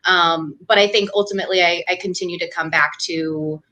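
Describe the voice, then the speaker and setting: A woman's voice: fairly low pitch, fairly even intonation, a little fast, sharp tone. A young woman from New Hampshire, a doctoral student talking casually as an interview guest, chatty with frequent fillers and laughs. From New Hampshire, USA. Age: young adult.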